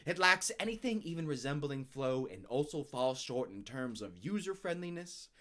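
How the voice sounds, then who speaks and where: A man's voice: medium pitch, sing-song, normal speed, sharp tone. A man giving a dramatic, grandiose reading of scripted text, with emphatic swells and drops in his delivery. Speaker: narrator reading out a user review.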